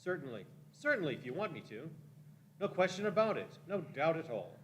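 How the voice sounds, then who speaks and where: A man's voice: a little high, very animated, fairly quick. A man playing a shifty, cowardly, ingratiating time traveler in a radio play, wheedling and evasive. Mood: nervous, eager. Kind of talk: live radio-play acting.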